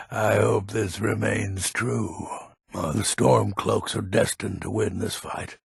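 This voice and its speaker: An actor playing a grumpy, proud old Nordic lord in a fantasy video game, with a gravelly, raspy voice and a blustering manner. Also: male voice, lowish voice, fairly even intonation, no room sound, clean studio sound, full character voice. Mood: fervent. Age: older. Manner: grumpy, blustering, slow and weighty. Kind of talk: video game character voice acting.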